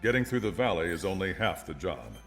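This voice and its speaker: A stern military officer briefs a mission in a video game. His voice is very deep, with lively swings in pitch and a formal, authoritative delivery. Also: fairly quick, soft background music, exaggerated voice. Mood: formal, resolute.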